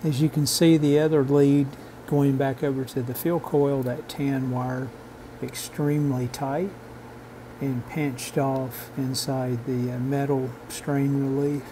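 A man narrating an instructional repair video in a halting, measured, technical way. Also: medium pitch, little pitch movement, warm tone, a little hiss or hum, poor audio. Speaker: host of a radio repair channel.

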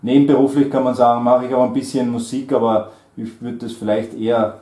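A man's voice: medium pitch, little pitch movement, brisk, mellow, echoey room. A middle-aged man, leading an oral language exam with many fillers and friendly laughs. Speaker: German trainer and examiner. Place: small indoor room at a table.

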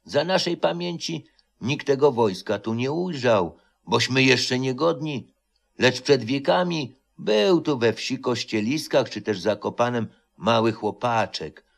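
A man plays a grey-haired old mountain man telling a folk legend in an audio storybook. He is solemn and takes his time, giving the tale a slow, stately delivery. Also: mid-range voice, vocal fry. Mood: solemn, stirring.

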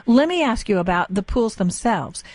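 A woman's voice: medium pitch, lively intonation, normal speed, a little mellow, no room sound. Woman, American accent, radio interviewer, conversational, inquisitive, plain-spoken, very dry close recording. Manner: inquisitive, plain-spoken, checks understanding in lay terms.

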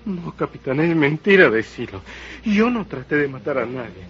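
An actor playing a humble, frightened middle-aged Mexican field worker, timid and deferential, with a Mexican Spanish accent, in a radio drama. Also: male voice, fairly high pitch, very animated, a little fast, fairly bright tone. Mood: pleading. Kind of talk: 1950s radio drama acting. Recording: dull old radio recording, music bed.

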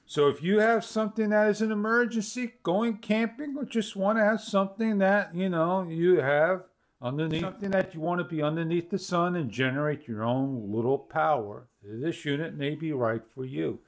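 Higher-pitched man demonstrating a product. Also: moderate pace. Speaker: product reviewer. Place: small home studio room, indoors.

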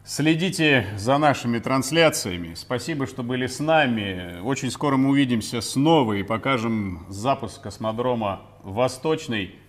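A man's voice: mid-range voice, expressive, moderate pace, few pauses. Middle-aged man presenting a broadcast. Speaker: broadcast co-host. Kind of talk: scripted live broadcast presenting. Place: TV studio. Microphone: lapel mic.

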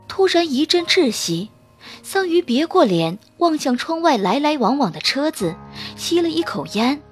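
High, lively woman narrating a romance. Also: normal speed, faint music behind. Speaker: audiobook narrator. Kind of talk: scripted narration of a romance novel.

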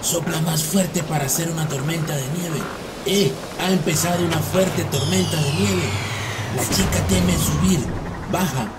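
A man's voice: on the high side, measured pace, very noisy, close to an everyday voice. A man voicing a bold, crafty little Arctic hunter in a dubbed children's cartoon. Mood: urgent, coaxing. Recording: distant voice under loud music.

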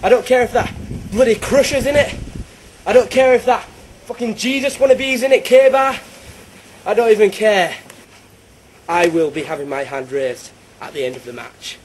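A man's voice: high voice, normal speed, pauses often, a little hiss or hum, close to an everyday voice. A man voicing a boastful, hot-headed male wrestler who trash-talks and swears. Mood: cocky. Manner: brash, swearing trash talk.

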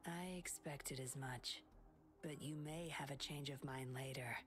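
A woman's voice: rumbling low, fairly even intonation, a little slow, husky, faint music behind, full character voice. A woman playing a cool, self-assured, persuasive game character with a composed, coaxing manner. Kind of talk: video game voice acting.

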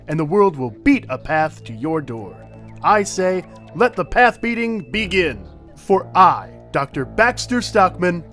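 A man playing a smug, pompous male inventor in an animated comic drama, with a grandiose, showy delivery. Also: fairly high pitch. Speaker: actor in a motion comic. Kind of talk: theatrical animated drama acting.

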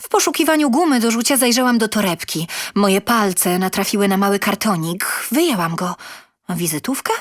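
A woman's voice: medium pitch, expressive, normal speed, no room sound. Woman reading a story, close and dry. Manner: theatrical, emotive delivery. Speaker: reader of a short story. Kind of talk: dramatic first-person storytelling, scripted narration.

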